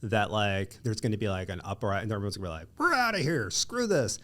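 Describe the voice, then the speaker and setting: A man hosting a casual podcast chat as a lawyer, with a bright, nasal voice and an animated way of acting out what people say. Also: on the low side, fast. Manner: animated, acts out quoted voices.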